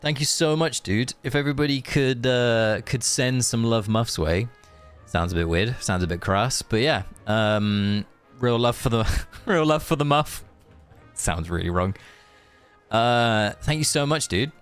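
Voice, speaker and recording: A man's voice: medium pitch, a little slow, clean tone, soft background music. A man chatting informally and chummily to viewers as he streams a game. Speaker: game livestreamer. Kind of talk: casual livestream chat and commentary.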